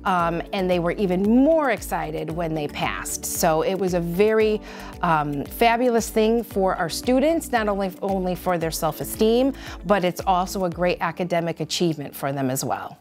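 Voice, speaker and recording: A middle-aged woman teacher talks with warm enthusiasm, pausing on small fillers. Her voice is slightly low. She is sitting in a classroom lined with bookshelves. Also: lively intonation, faint music behind. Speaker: language teacher. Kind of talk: interview.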